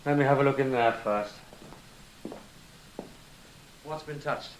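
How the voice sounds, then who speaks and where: Actor doing brisk british spy boss, radio drama, middling pitch, short clipped questions, bit of background noise. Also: male voice, close to an everyday voice, rough recording. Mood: brisk, businesslike. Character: brisk, weary senior male British intelligence officer. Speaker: investigator and first-person narrator.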